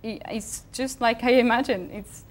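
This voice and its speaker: Young woman, laughing as she talks. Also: a little high. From Venezuela. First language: Spanish. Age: young adult. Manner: laughs often, halting restarts. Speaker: co-host. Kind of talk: casual studio chat. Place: small TV studio.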